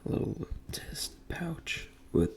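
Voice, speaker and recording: A young man from Denmark speaking soft, breathy ASMR in a gentle near-whisper, repeating phrases as he talks in a personal, motivational way. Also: takes it slowly. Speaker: ASMR creator and host.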